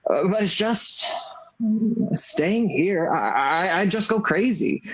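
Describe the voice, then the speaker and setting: A man's voice: sits high, expressive, slow pace, a bit bright, exaggerated voice, poor audio. An actor playing a restless, good-natured young small-town man with a hesitant, stammering delivery in an old-time radio play. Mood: earnest, restless. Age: young adult.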